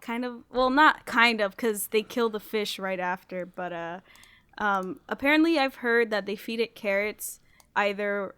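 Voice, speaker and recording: A woman's voice: medium pitch, unhurried, fairly bright tone, smooth, no room sound. A woman hosting a casual group podcast, talking informally and thinking aloud as she goes. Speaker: podcast host putting questions to the group.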